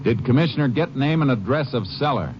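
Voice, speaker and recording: An actor playing a shrewd, terse Texas Ranger in a 1950s radio drama, speaking matter-of-factly. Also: male voice, medium pitch, lively intonation, fairly quick, very noisy, lightly acted. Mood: businesslike. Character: steady, shrewd Texas Ranger investigator, adult man. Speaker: lead actor and narrator. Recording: muffled vintage radio recording.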